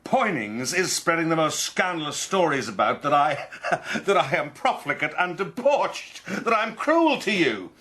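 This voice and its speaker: An actor playing a proud, bullying eighteenth-century gentleman adventurer in a radio drama, pompous and commanding. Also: on the high side, sing-song, unhurried, few pauses, full character voice. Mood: indignant, insistent. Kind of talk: radio drama narration and dialogue.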